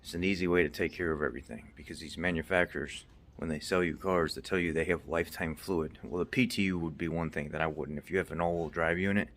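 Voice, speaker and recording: A man's voice: a bass voice, a bit bright. A middle-aged man giving a casual, unscripted recap as a mechanic hosting a video, stressing his points by repeating them. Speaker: car maintenance video host, mechanic.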